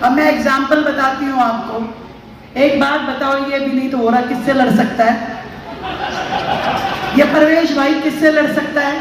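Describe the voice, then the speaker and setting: A woman's voice: sits high, steady tone, a little slow, pauses often, a crowd in the background, background noise. A woman giving a chatty, anecdotal speech at a ceremony, raising her voice for punchlines. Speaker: political speaker. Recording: echoey hall, mic from far off.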